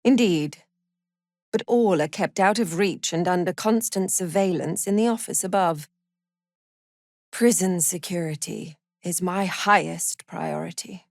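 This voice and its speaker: A woman playing a cruel, haughty prison warden in a fantasy video game, menacing and condescending, with measured, deliberate delivery. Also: lowish voice, vocal fry, no room sound, clean studio sound. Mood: stern, formal. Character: cruel, haughty female prison warden, zealous cult follower.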